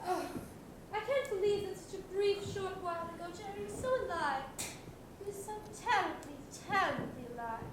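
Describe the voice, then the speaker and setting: A woman's voice: very high-pitched, brisk. A young woman on stage playing a lovelorn, overwrought lady suspect, gushing and drawing out her words theatrically. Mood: gushing grief. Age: young adult. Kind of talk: comedic student stage acting. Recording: echoey stage, distant mic, noisy.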